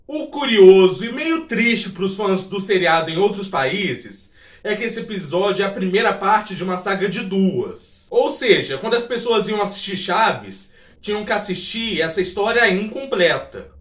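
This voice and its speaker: High-pitched Brazilian man in a roomy space. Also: lively intonation, normal speed. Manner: informal, exclamatory, talks directly to viewers. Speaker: YouTube presenter of a video essay on a TV sitcom. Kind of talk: casual scripted narration with chatty asides. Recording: roomy echo, distant from the mic.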